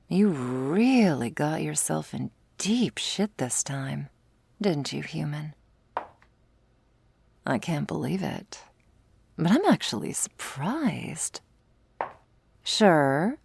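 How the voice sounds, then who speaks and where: Casting: smug, flirtatious vampire huntress of prey for ASMR roleplay, played by a woman; slow drawl with gravelly fry, teasing and breathy. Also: low voice, a little mellow, a little hiss or hum, full character voice. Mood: mocking, amused. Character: smug, predatory female vampire, taunting and flirtatious.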